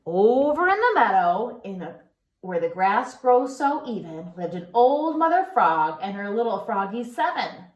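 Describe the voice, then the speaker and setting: A woman reads a picture book to young children as their teacher would. Her pitch moves up and down in an animated, singsong way. She takes her time and stops to put questions to the listeners. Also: dull audio. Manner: expressive, singsong, pausing to ask children questions. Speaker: pre-K teacher.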